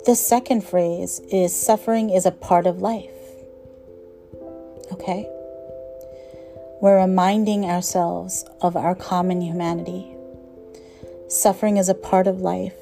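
A woman guiding a meditation with slow, gentle instruction in a soothing, measured manner, letting phrase ends trail softly. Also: fairly low pitch, level tone, vocal fry, soft background music. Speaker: meditation guide.

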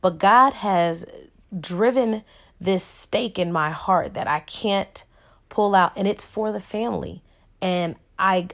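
A woman in her thirties hosting a podcast, giving an inspirational faith talk to listeners in an earnest, emphatic way with preacher-like repetition. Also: mid-range voice. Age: 30s. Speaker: podcast host.

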